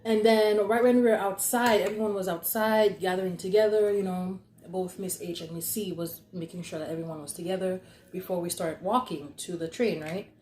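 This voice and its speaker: A young adult woman telling stories casually and conversationally, animated and giggly, acting out what people said. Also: lowish voice, moderate pace. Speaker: podcast host telling stories. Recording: echoey, roomy sound.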